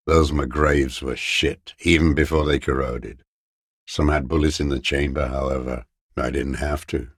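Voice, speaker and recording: A man playing a bitter old communist deserter in a video game, muttering in contemptuous, terse fragments with a gravelly, raspy voice. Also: a bass voice, no room sound, studio quality, heavily acted. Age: older. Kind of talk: video game character voice acting.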